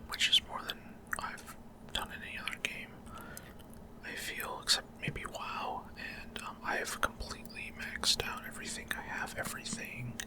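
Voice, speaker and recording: A man hosts a gameplay video entirely in a soft, breathy whisper. His ASMR-style commentary comes in short, halting pieces, with pauses in the middle of his sentences. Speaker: gaming video host.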